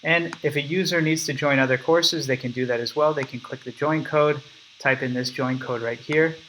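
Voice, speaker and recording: A man's voice: fairly high pitch, steady tone, normal speed, a bit bright, a little hiss or hum, rough recording. A young man casually explaining his app step by step in a recorded screen walkthrough at his computer. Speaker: app developer demonstrating his product.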